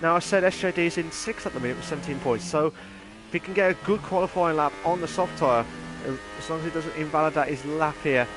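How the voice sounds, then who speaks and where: A man commentating a sim racing league, reading chat aloud and breaking into excited shouting. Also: on the high side, fast, music in the background. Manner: bursts into excited shouting over laps; reads chat messages aloud, chatty. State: nearly losing his voice from shouting.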